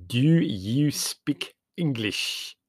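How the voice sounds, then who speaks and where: A man voicing a stern customs officer who speaks slowly and ominously. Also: medium pitch, lively intonation, husky, dry recording, studio quality, pitched above the performer's own voice, heavily acted. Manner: slow, heavily deliberate, ominous. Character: stern Greek male customs officer, impatient.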